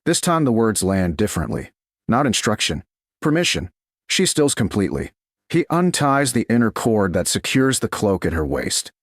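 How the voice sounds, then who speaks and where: A man narrating a scripted romance story in a slow, dramatic storytelling style. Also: fairly low pitch, sing-song, no echo, clean studio sound. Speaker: audiobook narrator.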